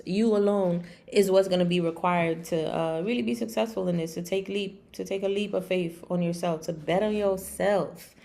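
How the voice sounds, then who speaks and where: A raspy-voiced woman with a slight cold, giving a casual, conversational tarot and astrology reading to viewers, with playful asides. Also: mid-range voice, dark tone. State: getting over sneezing and coughing. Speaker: online tarot and astrology reader.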